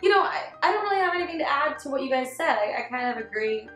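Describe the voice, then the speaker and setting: A young woman in a casual fan discussion, excitable and giggly as she talks. She sounds like she is in an echoey room, with faint music playing underneath. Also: sits high, very fast. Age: young adult. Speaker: panelist, amateur fan-dub voice actress. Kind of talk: casual chat about anime.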